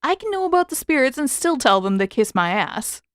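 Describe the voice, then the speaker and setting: A woman's voice: sits high, big pitch swings, fairly quick, fairly bright tone, clear, resonant tone, dry recording, studio quality, voice pushed up. A woman voicing a brash, defensive, sarcastic teenage boy in a fan fiction audiobook, with a blunt, casual, emphatic delivery. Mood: indignant, defensive.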